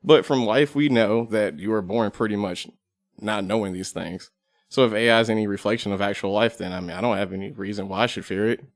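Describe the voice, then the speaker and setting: A man's voice: fairly low pitch, brisk. A man chatting as a podcast guest in a studio, blunt, wry and offhand in casual talk. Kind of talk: casual chat.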